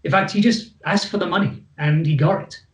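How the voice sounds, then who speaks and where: A man with a Welsh accent, a guest on a video call, talking in a relaxed and jokey way. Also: high voice, brisk, echoey room. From Wales. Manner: easygoing, jokey. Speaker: guest psychologist. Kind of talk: relaxed conversation and presentation.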